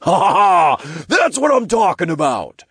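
A man voicing a tiny, crude male imp in an audiobook, loud, slangy and obnoxious. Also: medium pitch, sing-song, normal speed, no echo, clean studio sound, pitched above the performer's own voice. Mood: gleeful.

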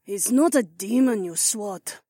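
A man's voice: normal speed, fairly bright tone, breathy edge, no room sound. A man voicing a sly, sardonic young woman who purrs and grumbles in an audiobook. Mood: grumbling. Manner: low-key purring, dry grumble. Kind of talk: audiobook character voice.

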